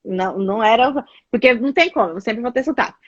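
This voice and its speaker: A woman's voice: a little high, quick pace, no room sound. Brazilian woman, raised voice, chatty storyteller, dull lo-fi call audio, dry sound. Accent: Brazilian Portuguese. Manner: chatty, animated storytelling with many fillers. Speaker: guest. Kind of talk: casual chat.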